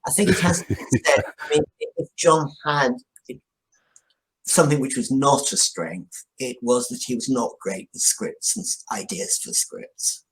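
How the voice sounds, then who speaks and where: An older man reminiscing as an interview guest, speaking in measured, emphatic phrases with deliberate pauses. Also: mid-range voice, sing-song, rough recording. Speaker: podcast guest, television scriptwriter. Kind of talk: reflective interview recollection.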